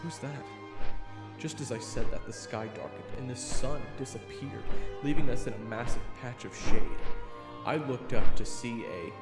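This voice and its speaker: A man's voice: mid-range voice, normal speed, lightly acted. An actor playing a chatty young swordsman who narrates a fantasy audio drama in a conversational, dramatic way. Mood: dramatic, tense. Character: roguish, chatty young swordsman retelling his adventure. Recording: echoey reverb, loud music behind.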